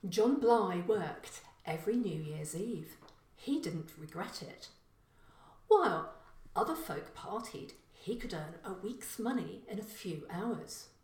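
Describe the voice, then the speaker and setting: A woman reading a short crime story as scripted narration, with deliberate, careful phrasing. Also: medium pitch, lively intonation, darkish tone, far from the mic. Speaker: author reading own story. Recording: echoey room.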